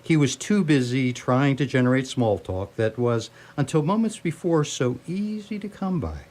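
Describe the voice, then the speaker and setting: A man narrates a comic radio drama from a script. His delivery is animated and varied in pitch, with a wry, playful touch. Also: moderate pace. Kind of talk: scripted radio theatre storytelling.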